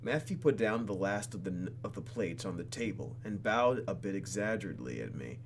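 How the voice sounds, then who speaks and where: A man's voice: lowish voice, animated, brisk, creaky, slight background noise. A man doing playful, exclamatory gameplay commentary as he reads a visual novel aloud, with faint music behind him. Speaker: let's play host.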